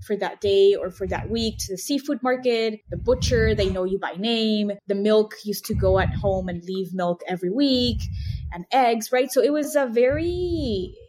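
A woman in her late thirties from Mexico City speaks English with a Mexican Spanish accent, her first language being Spanish. Her voice sits at a middle pitch for a woman. She talks in a chatty, fond storytelling way and slips Spanish words into her sentences. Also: normal speed, darkish tone, a little hiss or hum. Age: late 30s. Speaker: guest, snack company founder. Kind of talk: casual interview chat.

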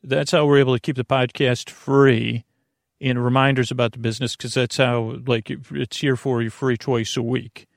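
A man hosting a sleep podcast in a meandering, halting monologue, often losing his thread. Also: mid-range voice, flat delivery, vocal fry, no room sound, studio quality. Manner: meandering, halting, loses his train of thought. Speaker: podcast host.

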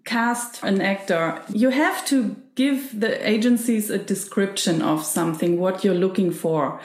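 A woman's voice: medium pitch, measured pace, darkish tone. A woman interviewing an actor, affirming and laughing lightly as she talks about casting. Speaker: interviewer, former casting director. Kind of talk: conversational interview. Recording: roomy echo.

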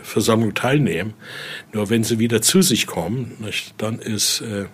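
A man giving an informal, halting explanation as a guide, often ending phrases with little questioning tags. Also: on the low side, slightly rough. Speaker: interviewee, exhibit guide and Voodoo expert. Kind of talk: informal spoken explanation during a guided tour.

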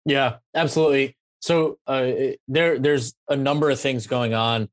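A man's voice: mid-range voice, steady tone, unhurried, no echo. Male interviewer, dry sound. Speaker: show host, interviewer. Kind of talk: conversational business interview.

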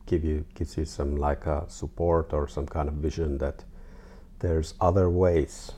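A man in his forties with a Finnish accent and an extremely deep, mellow voice, over faint noise. He talks casually as an interview guest, in hesitant, halting phrases. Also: little pitch movement. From Finland. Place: artist's studio.

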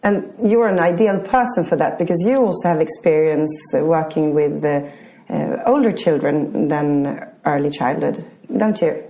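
A woman's voice: low voice, moderate pace, warm tone. Friendly woman podcast host interviewing. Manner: friendly questions with fillers.

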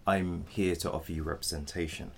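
An actor in an audio drama playing a polite but blunt defence solicitor, gravelly and measured in his delivery. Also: low-pitched, steady tone, a little hiss or hum. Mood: polite, sympathetic. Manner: measured, matter-of-fact, formally polite. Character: apologetic but blunt male defence solicitor in dystopian future.

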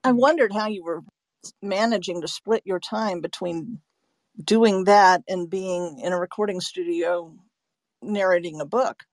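A woman's voice: lowish voice, measured pace, no echo. A woman hosting a conversational interview, putting questions and comments to her guest. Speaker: interviewer, host.

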